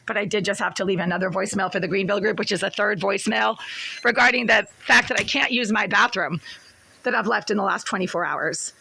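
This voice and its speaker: A woman tenant with a raspy, breathless voice, recording an agitated complaint in her small apartment bathroom and hallway. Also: medium pitch, sharp tone, low-quality recording. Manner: agitated, relentless, repetitive listing. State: short of breath. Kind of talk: angry first-person complaint vlog.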